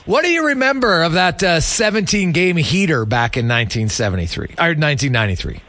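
A male sports radio host with a forceful, bright and fairly high voice. He is chatty and roams through long questions, recorded close and dry in a studio. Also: sing-song, brisk, dry recording. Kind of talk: conversational sports interview.

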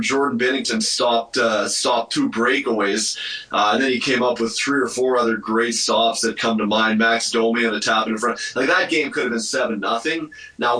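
Echoey, bright-voiced male hockey analyst. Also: medium pitch. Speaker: hockey radio commentator, guest analyst. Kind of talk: conversational sports commentary. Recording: roomy, echoey remote call, distant mic.

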